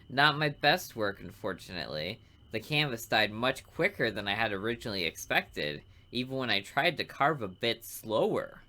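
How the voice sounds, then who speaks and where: A man plays a smug killer who fancies himself an artist, narrating a visual novel. The delivery is deliberate and theatrical, savoring each morbid word in a bright, mid-pitched male voice.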